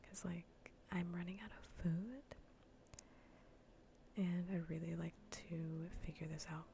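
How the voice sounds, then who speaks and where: A woman livestreamer chatting in a soft, breathy ASMR whisper, casual, teasing and blunt, close to the microphone. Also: lowish voice, fairly even intonation, a little slow, a little hiss or hum. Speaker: livestreamer playing a game. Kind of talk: whispered ASMR chat while gaming.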